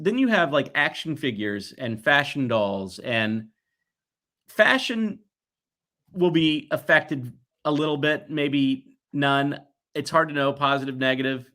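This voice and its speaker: A man in his forties speaks at a middle pitch in a conversational interview style. He is earnest and reflective, and he leans on key words with pauses for emphasis. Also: fairly bright tone. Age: 40s. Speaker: guest, toy company executive.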